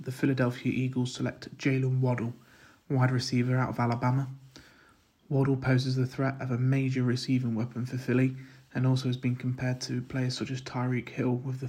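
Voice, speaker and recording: A man announcing his draft pick in a steady, prepared way. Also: medium pitch, breathy edge. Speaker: guest making a pick.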